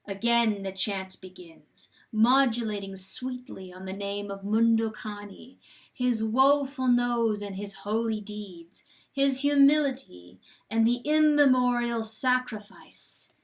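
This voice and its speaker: A woman giving a dramatic, intense and deliberate storybook narration. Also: a little high. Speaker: audiobook narrator.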